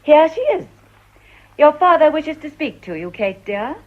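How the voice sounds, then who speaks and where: An actress playing a shrewd, composed middle-aged mother in a period radio drama, with measured, probing upper-class English speech. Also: high-pitched, big pitch swings, slight background noise. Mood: dry, probing. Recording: dull, lo-fi radio recording.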